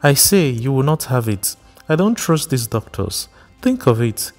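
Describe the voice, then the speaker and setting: A shrill, tearful pregnant wife, wailing and scolding her husband in a storybook reading, as a male narrator puts her on. Her voice climbs high and loud in anguished outbursts. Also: very animated, measured pace, faint music behind. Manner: wailing, scolding, rising to cries.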